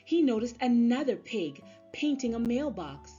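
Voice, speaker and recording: A woman narrating a children's story aloud in an expressive storybook-reader style. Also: a little high, normal speed. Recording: slightly dull sound, music underneath. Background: music.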